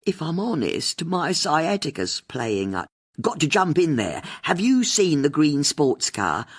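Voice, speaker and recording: A reedy, mournful old woman who complains slowly and sadly about her aches, as a male storyteller puts her on. Her voice sits noticeably higher and a little husky. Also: sing-song, full character voice. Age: older. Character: frail mournful elderly woman caller.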